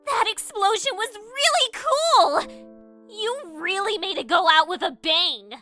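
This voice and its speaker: A high-voiced, lively devil girl for an anime fan dub. She is childish, boastful and blunt, yet loyal, and she talks quickly with a bright edge. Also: soft background music, full character voice. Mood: gleeful. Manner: excitable, loud, blunt.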